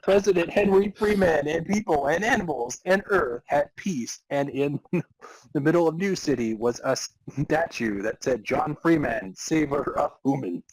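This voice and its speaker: A husky-voiced man hosts and narrates a comic fan fiction reading. He is halting and amused, with casual asides, in a dry, close recording. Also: fairly high pitch, no echo, poor audio. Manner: halting, amused delivery of broken sentences. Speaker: podcast narrator and co-host.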